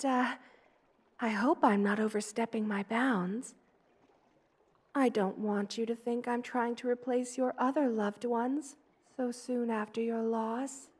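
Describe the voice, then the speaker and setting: A woman voicing a gentle, motherly spider queen in an ASMR roleplay, tender, cooing and soothing as she dotes on the listener. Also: fairly high pitch. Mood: careful, sympathetic. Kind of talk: ASMR roleplay, personal attention.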